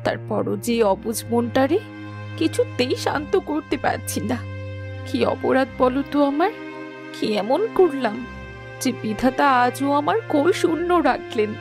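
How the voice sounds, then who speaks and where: A woman's voice: high voice, animated, unhurried, music in the background. A woman voicing a yearning, plaintive childless village wife in a melodramatic cartoon fairy tale. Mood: sorrowful. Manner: pleading, plaintive.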